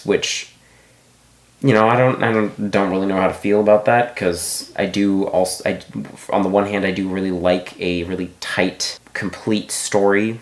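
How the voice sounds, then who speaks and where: Man, very low voice, casual book review, rambling restarts, echoey room. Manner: rambling, thinking aloud with many restarts.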